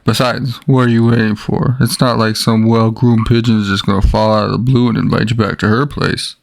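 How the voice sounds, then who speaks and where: A man voicing a blunt, folksy, streetwise male pigeon with a gravelly voice in an animated short. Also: lowish voice, fairly quick, a little hiss or hum. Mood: pushy, casual. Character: streetwise, pushy male alley pigeon, older buddy type.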